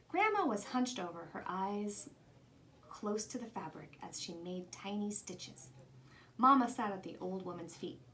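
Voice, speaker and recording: A woman reading a children's picture book aloud in an expressive storytelling style. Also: medium pitch, a little hiss or hum. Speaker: storybook reader.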